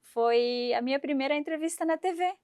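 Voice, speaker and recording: A woman in her thirties from Syria, speaking in a fairly high voice. She tells her story with animation, acting out the voices of the people in it. The sound is dry and close. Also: a little fast, dry recording. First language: Arabic. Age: 30s. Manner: animated, acts out quoted voices, laughs while telling. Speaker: guest. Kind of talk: storytelling interview.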